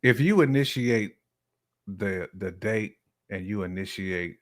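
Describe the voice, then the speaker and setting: A man's voice: lowish voice, slow. A man speaking as a podcast guest, sharing opinions in casual, slangy, conversational speech. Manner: informal, colloquial speech with slang.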